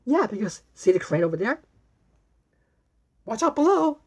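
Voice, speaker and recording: An excitable young girl puppy from a children's cartoon storybook, as a woman reader puts her on. The voice jumps up high above ordinary talk and is slightly husky. It sounds eager, cheerful and a little bossy. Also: normal speed, exaggerated voice. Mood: excited. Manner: exclamatory, eager.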